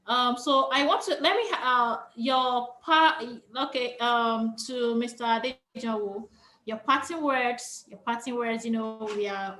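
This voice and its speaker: A woman's voice: medium pitch, fairly bright tone, echoey room, a little hiss or hum. A young Nigerian woman, a native Yoruba speaker, moderating a panel in a chatty, enthusiastic way. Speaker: panel host.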